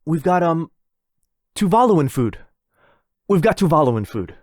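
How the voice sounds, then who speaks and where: A man's voice: a little high, very animated, a little slow, darkish tone, no echo, studio quality. A man playing a lonely, possessive, rich vampire brother in a boyfriend ASMR roleplay, insistent and coaxing. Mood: anxious, pleading.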